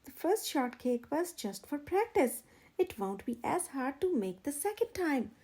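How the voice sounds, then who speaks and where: Woman as a high, animated, commanding storybook rooster. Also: unhurried. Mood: proud, reassuring. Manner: emphatic, instructive. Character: exasperated, commanding male rooster chef, storybook team leader.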